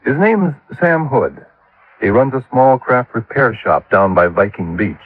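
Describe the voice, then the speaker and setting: An actor playing a gruff, sceptical middle-aged husband and father with a blunt, scolding way of talking in a radio drama. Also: medium pitch, animated, measured pace, natural voice. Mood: weary, frank. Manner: blunt, scolding, plain-spoken. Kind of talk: 1950s radio drama acting. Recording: tinny vintage radio, band-limited.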